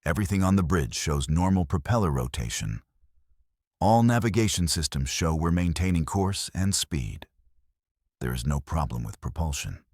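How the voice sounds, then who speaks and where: A man voicing a curt, dismissive middle-aged ship captain, flat and firm. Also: rumbling low, moderate pace, breathy edge, no room sound, clean studio sound, exaggerated voice.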